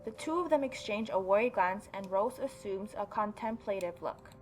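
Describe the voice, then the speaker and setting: A woman gaming YouTuber reading a story game aloud and adding expressive, mocking asides. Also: mid-range voice, moderate pace, faint music behind. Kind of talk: let's-play reading aloud with reaction commentary.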